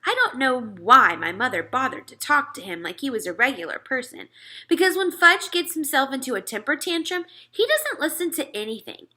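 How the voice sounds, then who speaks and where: A woman narrating a children's story as an expressive read-aloud. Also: sits high, moderate pace.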